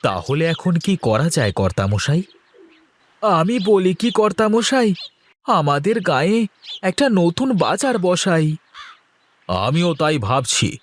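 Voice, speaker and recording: Village man for folktale cartoon, male actor: slightly high pitch, unhurried, theatrical, drawn-out lines. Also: sing-song, a little hiss or hum. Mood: eager, deferential. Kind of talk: exaggerated cartoon voice acting.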